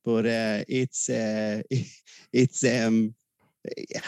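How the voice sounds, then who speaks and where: A man's voice: on the low side, little pitch movement, extremely slow, a little mellow, clear, resonant tone, no room sound, studio quality. A man with a Dublin Irish accent as a podcast guest, talking in a rambling, hesitant way with many fillers. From Ireland. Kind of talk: casual interview chat.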